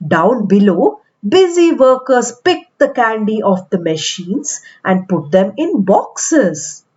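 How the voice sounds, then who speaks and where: A woman reading a picture book aloud to young children in an expressive, deliberate storytelling manner. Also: high voice, highs cut off.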